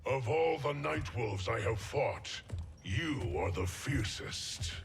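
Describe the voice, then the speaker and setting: An actor playing an eternal, imperious superhuman villain in a video game, calm and menacing, speaking in grandiose, measured phrases with a gravelly voice. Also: male voice, mid-range voice, slight background noise. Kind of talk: video game cutscene acting. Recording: music under the dialogue.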